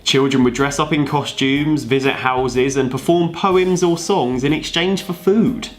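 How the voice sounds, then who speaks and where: Dry male explainer with medium-pitched voice. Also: measured pace. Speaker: video co-presenter. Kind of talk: scripted explainer narration with banter.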